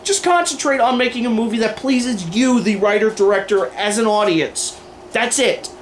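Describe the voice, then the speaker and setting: A man's voice: high-pitched, moderate pace, slight background noise. A man delivering opinion commentary to camera in an emphatic, wordy, informal way, like a host going through a list. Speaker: video host and aspiring filmmaker.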